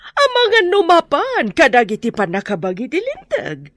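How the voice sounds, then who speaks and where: A woman's voice: high-pitched, wildly swooping pitch, normal speed, a bit bright, no room sound, full character voice. An actress playing a haughty, scornful, threatening rich lady in a Filipino radio drama. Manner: imperious, scornful.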